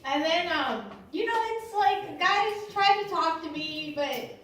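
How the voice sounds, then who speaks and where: A woman's voice: very high voice, lively intonation, slow pace, fairly bright tone. A woman in her early thirties doing a conversational, self-deprecating stand-up set, acting out short bits of dialogue. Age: early 30s. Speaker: stand-up comedian. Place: live stand-up venue. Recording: roomy, echoing live recording from a distance.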